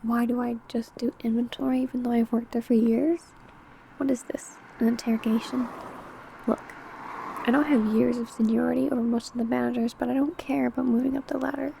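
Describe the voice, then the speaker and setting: A secretive vampire coworker, acted out by a woman in an ASMR roleplay. Her voice sits fairly high yet stays soft and mellow, with a creaky edge. She talks close and hushed, coy and sly, as if sharing gossip with you alone. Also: level tone, a little fast, slight background noise, poor audio. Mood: evasive, defensive. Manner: hushed, chatty, coy, drifting into whispers. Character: secretive female vampire coworker, older senior, sly and possessive. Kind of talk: ASMR roleplay addressed to the listener.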